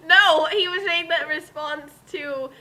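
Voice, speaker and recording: A woman's voice: high voice, unhurried, sharp tone. A woman co-hosting a story reading in casual, joking chat, with a questioning, teasing manner. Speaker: co-host of fan fiction reading.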